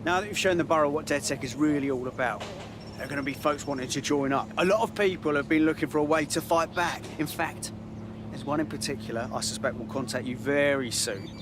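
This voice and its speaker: Man playing friendly upbeat london bloke in a game, british, highish voice, cars and street noise behind. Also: brisk, lightly acted. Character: friendly, upbeat male Londoner backing the rebels. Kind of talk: video game cutscene acting. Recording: outdoor car and street noise.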